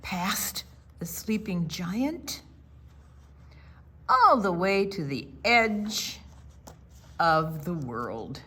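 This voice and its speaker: A woman voicing a wise barn owl from a storybook, handing out solemn instructions in measured, weighty phrases. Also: lowish voice, big pitch swings, a bit bright, husky, full character voice. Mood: instructive, grave. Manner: slow, measured, weighty pronouncements.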